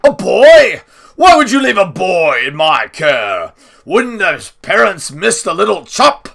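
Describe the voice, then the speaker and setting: A man's voice: sits high, sing-song, slow, vocal fry, fairly bright tone, voice pushed up, full character voice. A man voicing an imperious, hot-tempered old lord and doting grandfather in a storybook, blustering when crossed. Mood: indignant.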